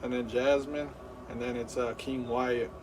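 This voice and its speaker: A man's voice: mid-range voice, little pitch movement, a little slow, far from the mic, noisy background. A man in his thirties hosting a live game stream, rambling with lots of fillers and hyped play-by-play. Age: 30s.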